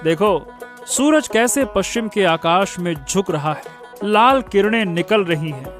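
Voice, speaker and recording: A man's voice: high-pitched, expressive, measured pace, a bit bright, faint music behind. A man voicing a romantic, attentive young husband in a cartoon story, dreamy and affectionate. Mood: awed. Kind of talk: animated moral story dramatization.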